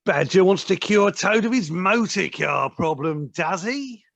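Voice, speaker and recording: A woman's voice: on the low side, animated, a little slow, gravelly, heavily acted. A woman playing a bossy, impatient schemer in an audio play, scornful and exasperated. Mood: scornful, scheming. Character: bossy, impatient scheming villain plotting against Toad. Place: living room, recorded over video call.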